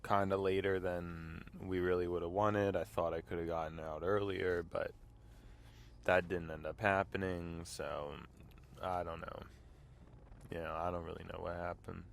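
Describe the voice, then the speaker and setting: A man hosting his own show, talking casually while driving, with a congested voice from a mild illness. He rambles and keeps clearing his throat. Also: sits low, little pitch movement, a little slow. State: congested, slightly sick voice. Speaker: vlog host. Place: inside a car.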